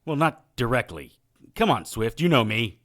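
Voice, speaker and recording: An actor playing a wry, hardboiled 1940s private eye in a noir radio drama, narrating and trading sardonic lines. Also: male voice, mid-range voice, very animated, moderate pace, fairly bright tone.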